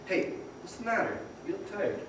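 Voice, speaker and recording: A man's voice: sits high, big pitch swings, moderate pace, a bit bright. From far off in a very echoey, noisy hall comes a man acting an eager young dreamer in a radio play. He is earnest and boyish, restless to do big things. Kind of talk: old-time radio drama acting on stage. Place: performance hall, far from the microphone. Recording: very echoey, distant, noisy stage recording.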